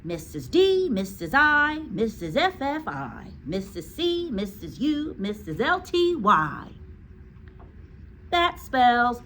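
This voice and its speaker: Storybook schoolboy, played by a woman: high, eager, brave, very lively pitch, faint room noise. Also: takes it slowly, exaggerated voice. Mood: eager, cheeky. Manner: piping, eager.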